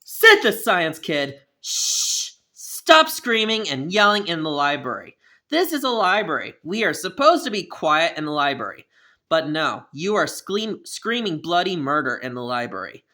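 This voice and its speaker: A woman doing a loud scolding dad. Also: low-pitched, very animated, unhurried, heavily acted. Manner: scolding, loud, repeats threats. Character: stern angry father scolding his son.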